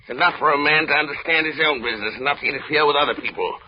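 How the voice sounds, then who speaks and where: A man's voice: a little high, racing, close to an everyday voice. An actor playing a cold, miserly, grumbling old businessman with a curt, scornful bark, in a 1930s radio drama. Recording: noisy, muffled 1930s radio recording.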